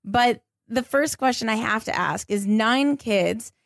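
High-pitched woman hosting a podcast. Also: a little slow, gravelly, dry recording, studio quality. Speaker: podcast host. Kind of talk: upbeat podcast hosting and interviewing. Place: small indoor studio space.

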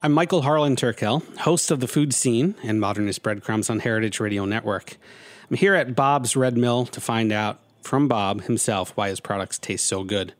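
A man's voice: lowish voice, moderate pace, no room sound. A man hosting a radio advertisement, reading in an upbeat announcer manner. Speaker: radio host in an ad. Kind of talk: scripted ad.

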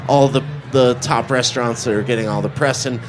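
A man's voice: medium pitch, fairly even intonation, fairly quick, background noise. A man co-hosting a podcast, chatting casually in a laid-back, joking way full of fillers. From born in Connecticut. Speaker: podcast co-host. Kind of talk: casual chat.

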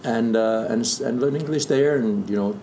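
Male, 50s, French accent, native French speaker, lower register, casual storyteller. Echoey room, some background noise. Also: moderate pace, dark tone. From southern Burgundy, France. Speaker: interview guest, distiller.

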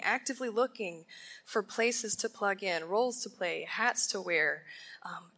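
A woman teaching a sermon at a women's conference in a ballroom, speaking deliberately and emphatically and asking her listeners rhetorical questions. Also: a little high, bright, forceful tone.

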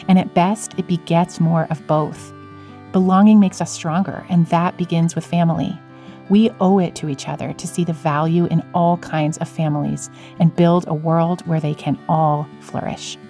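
A woman's voice: on the low side, warm tone. A woman hosting a podcast, talking in a reflective style. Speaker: podcast host.

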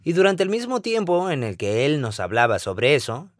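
Chatty man with lively pitch. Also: moderate pace, no room sound, clean studio sound. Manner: lively, chatty, asks questions. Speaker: podcast co-host. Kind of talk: casual conversation.

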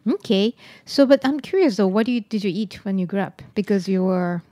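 A woman hosting a radio interview, speaking softly and hesitantly with many fillers. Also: mid-range voice, animated, a little fast, no echo. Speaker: podcast host.

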